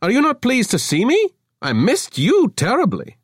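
A man voicing a flighty young man who gushes in a high, theatrical way. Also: huge pitch swings, normal speed, breathy edge, no room sound, studio quality, exaggerated voice. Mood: manic cheer. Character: giddy, flighty young man, cheerfully manic.

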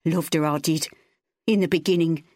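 A woman voicing a drunk, bitter working-class father who rants and yells. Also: sits low, steady tone, normal speed, dry recording, studio quality, close to an everyday voice. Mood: yelling. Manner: yelling, slurring, coarse.

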